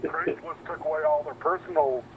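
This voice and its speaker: A man's voice: fairly high pitch, flat delivery, moderate pace. A man from the United States chatting casually with other gamers over online voice chat. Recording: tinny voice-chat line, noisy, distant.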